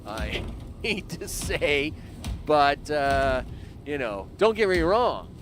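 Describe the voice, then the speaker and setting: A man's voice: a little high, very animated, slow pace, fairly bright tone, soft background music. A man in his early fifties, rambling casually with lots of fillers while commenting on his own game during a live stream. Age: 50s. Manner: rambling, chatty, talks to himself and chat with lots of fillers.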